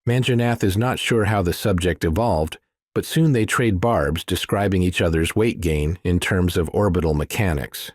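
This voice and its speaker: Low-voiced man narrating fiction. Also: moderate pace. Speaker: audiobook narrator.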